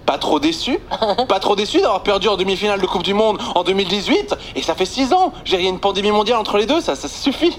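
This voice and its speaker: High-voiced Belgian comic with a sly, punchy radio-column delivery; slight noise underneath. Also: male voice, lively intonation, fast. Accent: Belgian French. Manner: sarcastic, punchy joke delivery. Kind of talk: comic monologue on morning radio.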